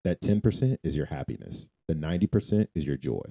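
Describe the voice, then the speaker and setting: A man's voice: a bass voice, lively intonation, fast talker, creaky, dark tone, husky. A man co-hosting a casual faith podcast, affirming with short agreements and sayings. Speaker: podcast co-host.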